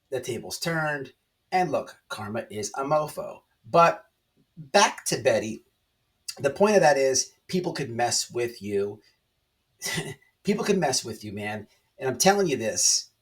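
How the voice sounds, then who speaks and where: A man's voice: medium pitch, distant mic. A man hosting an online commentary channel, talking casually and emphatically to viewers, repeating phrases and checking in with rhetorical tags. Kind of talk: casual opinionated monologue to viewers.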